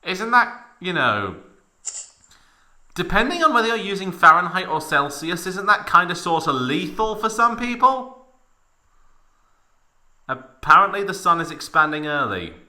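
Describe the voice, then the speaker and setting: A man's voice: sits high, unhurried, long pauses. A man hosting a mock-dramatic story reading, narrating theatrically and breaking off for joking asides. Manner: theatrical, animated. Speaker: host, story reader. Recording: echoey room.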